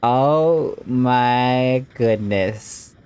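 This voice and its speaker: A woman's voice: rumbling low, drawn-out words, clear, resonant tone. A woman giving casual, exclamatory reaction commentary while playing a video game. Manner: expressive, exclamatory. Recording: game music behind the voice.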